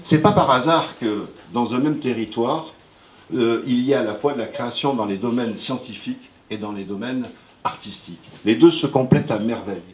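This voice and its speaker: A man's voice: medium pitch, normal speed, slight background noise. A man giving a rambling, hesitant speech to an audience at a book launch. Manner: rambling, hesitant, with jokes and praise. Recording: roomy hall with faint ambience.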